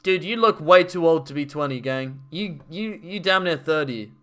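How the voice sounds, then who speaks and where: A man's voice: fairly high pitch, expressive, normal speed, bright, forceful tone. A man in his twenties reacting casually on a stream, bantering loosely and profanely with his chat over a headset mic. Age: 20s.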